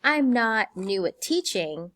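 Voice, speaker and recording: A woman's voice: medium pitch, big pitch swings, slow pace, fairly bright tone, no echo, clean studio sound. A woman in her thirties, a Spanish teacher whose first language is Spanish, giving an earnest, encouraging scripted welcome to her students. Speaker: high school Spanish teacher.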